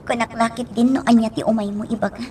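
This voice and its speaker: A woman's voice: a little high, little pitch movement, fast, darkish tone, far from the mic, a little hiss or hum, close to an everyday voice. A woman acting as an emotional, girlish schoolgirl in an Ilocano radio drama. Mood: subdued.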